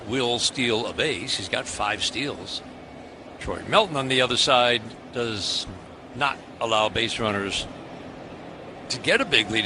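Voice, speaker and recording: An American man calling a baseball game live on the radio as the play-by-play announcer. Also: medium pitch. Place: outdoor ballpark broadcast booth. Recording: outdoor stadium ambience, clear background noise. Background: stadium ambience noise.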